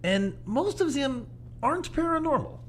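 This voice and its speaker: A man's voice: extremely high pitch, sing-song, moderate pace, fairly bright tone, slight background noise, heavily acted. A man playing a genial little old man who loves the paranormal, speaking in an erudite, musing, theatrical way in an audio drama. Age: older.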